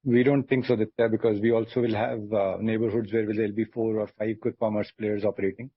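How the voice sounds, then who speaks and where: An Indian man, a company executive, answering analyst questions in a measured, firm, explanatory way with a husky voice. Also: lowish voice, little pitch movement, no room sound. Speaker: company executive on an earnings call. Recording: dull, lo-fi conference call audio.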